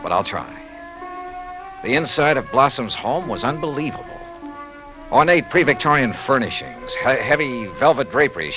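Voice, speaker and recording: An actor playing a brisk, impatient male insurance investigator in an old-time radio detective drama, wry and insistent. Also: mid-range voice, lightly acted. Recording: muffled vintage radio recording.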